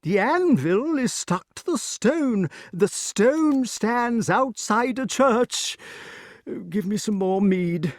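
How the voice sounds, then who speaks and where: A man voicing a dithering, excitable, petulant elderly king in a storybook, with a flustered, repetitive manner. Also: high-pitched, slow pace, no echo, clean studio sound, voice pushed up. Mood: laborious explaining. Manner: flustered, repetitive, peppered with interjections.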